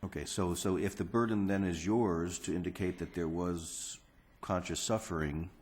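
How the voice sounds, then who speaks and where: A man's voice: low voice, fairly even intonation, moderate pace, darkish tone. A man judge skeptically challenging counsel from the bench in a courtroom. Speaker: appellate judge.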